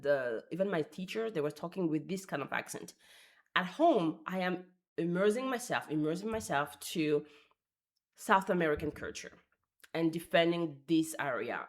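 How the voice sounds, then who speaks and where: A woman with a low, deep voice talks to her viewers in a casual vlog. Her delivery is chatty and animated, and she drops in wry, self-mocking asides. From France. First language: French. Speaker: language vlogger. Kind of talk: casual talk to camera.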